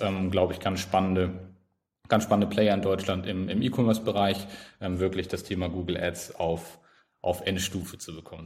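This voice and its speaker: A man in his thirties with a northern German accent and a fairly low voice, speaking as a guest in a relaxed interview. He hesitates often, filling pauses and restarting his sentences as he thinks. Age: 30s. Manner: hesitant, many fillers and restarts. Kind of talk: casual interview talk.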